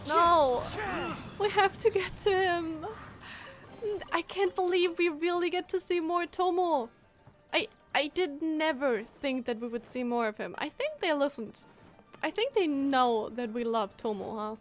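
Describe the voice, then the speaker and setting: High-pitched woman, emotional game streamer. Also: expressive, a little slow. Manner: emotional, exclamatory reactions. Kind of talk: casual gameplay commentary. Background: game music.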